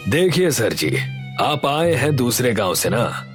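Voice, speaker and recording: A man's voice: mid-range voice, big pitch swings, measured pace, soft background music. Dismissive, greedy village headman acted by a man. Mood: condescending, threatening. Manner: dismissive, condescending. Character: stubborn, greedy male village headman and boat trader. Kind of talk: Hindi animated story voice acting.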